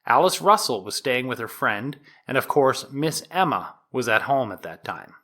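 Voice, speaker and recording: Male actor as 19th-century prosecutor, mid-pitched, formal, measured oratory, emphatic stresses, courtroom audio drama. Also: big pitch swings, sharp tone, exaggerated voice. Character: 1890s male prosecutor, formal, deliberate, addressing the jury. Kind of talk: dramatized courtroom opening statement.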